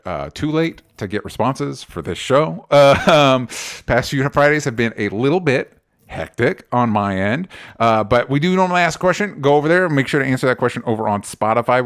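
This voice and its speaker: A man chats as the host of a casual pop culture podcast. He speaks in an ordinary mid-range voice, often halting and doubling back on himself. The wording is loose and informal. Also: medium pitch, animated, clear, resonant tone. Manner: rambling, halting, self-interrupting.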